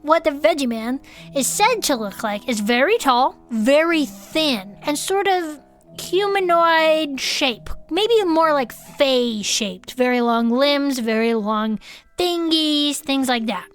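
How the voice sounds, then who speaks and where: A woman's voice: high voice, expressive, takes it slowly, faint music behind. A woman co-hosting a podcast, telling a story in a chatty, explanatory and comedic way. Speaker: podcast co-host.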